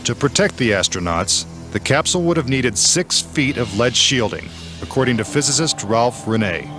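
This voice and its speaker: A man's voice: mid-range voice, lively intonation, moderate pace. A man reading scripted narration for a documentary. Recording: music behind the narration. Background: music bed.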